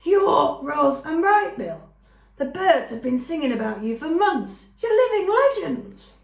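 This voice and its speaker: A woman doing a squeaky, excitable little skunk. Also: moderate pace. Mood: excited. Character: friendly female skunk, small, hospitable. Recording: roomy echo.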